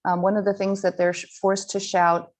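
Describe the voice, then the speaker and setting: A woman giving a slide presentation to a school audience, earnest and halting, with frequent ums and restarts. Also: medium pitch, little pitch movement. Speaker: guest speaker presenting slides.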